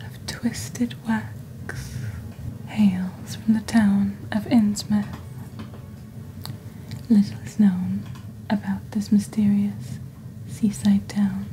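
A young woman playing a calm, mysterious witch who hosts a magical shopping channel in soft, breathy, half-whispered ASMR narration. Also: medium pitch, lightly acted, rough recording. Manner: slow, deliberate, hushed, theatrical presenting. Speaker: host of a fantasy shopping channel. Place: small dim indoor set. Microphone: close fluffy-covered studio microphone.

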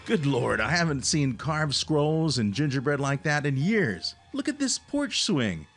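A man's voice: on the high side, sing-song, a little slow, very noisy. A man playing a stern, agitated spaceship captain in a radio drama, speaking with commanding urgency. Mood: baffled, insistent.

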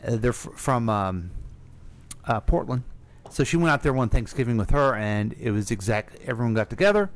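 Medium-pitched American man co-hosting. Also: animated, moderate pace. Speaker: radio co-host. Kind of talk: casual radio discussion.

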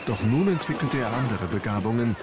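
A man's voice: medium pitch, expressive, fairly quick, over a noisy crowd. A man reading scripted narration for a documentary. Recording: music under the narration. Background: background music.

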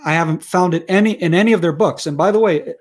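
A man's voice: sits high, quick pace. A man in his thirties from Israel, a native Hebrew speaker with an American accent, arguing his point passionately with frequent restarts as a guest on a video call interview. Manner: passionate, argumentative, many restarts. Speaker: guest researcher. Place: room at home. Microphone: wired earphones on video call.

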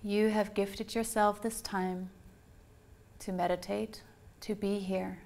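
A woman guides a meditation with soothing, unhurried instructions. Her pitch stays fairly even, and there is a clear creak in her voice. She sounds like a yoga teacher gently leading a practice.

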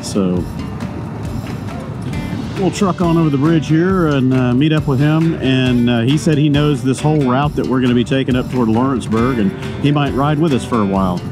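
A man riding a motorcycle and chatting casually to the camera through a helmet mic, rambling, loose and quick to swear and chuckle. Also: medium pitch. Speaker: motorcycle vlogger. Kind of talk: casual ride commentary. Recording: music playing under the voice.

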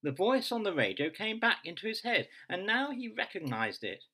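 A man in his sixties reads a story as its author. His voice is bright and slightly high, with expressive, widely moving intonation. He is recorded in a small room at arm's length from the mic. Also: moderate pace. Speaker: author reading from his book. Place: small bedroom, indoors.

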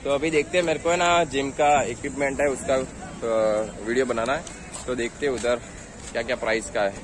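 A young man from India talks casually into his handheld phone while he walks through a busy outdoor street market. His voice sits at an average pitch, and he chats along as he haggles with the sellers. Loud market noise and passing traffic come through clearly behind him. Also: steady tone, normal speed. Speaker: vlogger. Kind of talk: casual walking market vlog. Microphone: handheld phone camera. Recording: noisy outdoor street recording. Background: crowd chatter and passing traffic.

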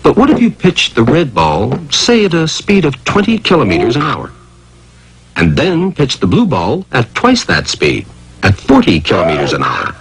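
A man delivers scripted educational cartoon narration with theatrical emphasis. His pitch swings widely from phrase to phrase, and his voice has a husky edge. He poses rhetorical questions as he explains. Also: huge pitch swings, moderate pace, a bit bright.

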